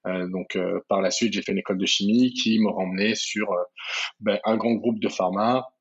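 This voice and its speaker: A man's voice: fairly low pitch, steady tone, moderate pace, breathy edge, dull audio. Hesitant man from the Paris region. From France, Paris region. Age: 30s. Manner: hesitant, many fillers and restarts. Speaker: guest entrepreneur. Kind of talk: casual interview talk.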